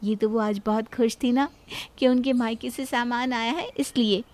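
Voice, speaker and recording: A woman's voice: a little high, steady tone, moderate pace, darkish tone, natural voice. A woman playing a humble, conciliatory young daughter-in-law in a family moral story. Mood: placating. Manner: placating, deferential.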